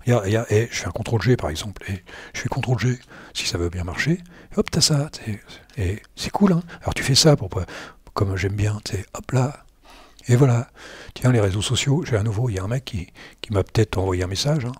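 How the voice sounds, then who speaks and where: A man's voice: lowish voice, animated, a little fast, darkish tone, slightly rough, no echo. A man giving loose, slangy commentary over a screen recording, often repeating himself and joking at his own expense. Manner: rambling, repeats words, slangy and self-mocking. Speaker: tech blogger.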